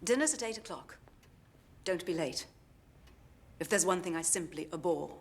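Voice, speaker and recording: Casting: haughty, punctilious housekeeper in a period film; commanding, precise, swooping pitch, clear background noise. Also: female voice, lively intonation, moderate pace. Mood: cold, haughty. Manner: imperious, clipped, precise. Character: stern, haughty, punctilious housekeeper ordering servants and children about. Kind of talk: period family film acting.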